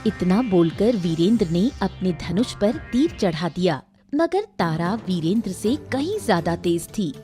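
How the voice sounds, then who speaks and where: Dark, mellow woman storyteller. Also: on the low side, big pitch swings, a little slow, faint music behind. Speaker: narrator. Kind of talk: scripted story narration.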